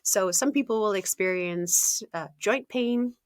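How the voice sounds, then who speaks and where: A woman, a health coach talking casually in an interview, often laughing, clearing her throat and using fillers. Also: mid-range voice, unhurried, no room sound, clean studio sound. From Ontario, Canada.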